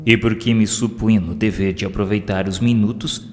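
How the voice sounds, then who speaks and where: A man's voice: fairly low pitch, fairly even intonation, brisk. A man with a Brazilian accent narrates a scripted audio novel in a solemn, formal literary manner. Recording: long reverb over music. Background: music.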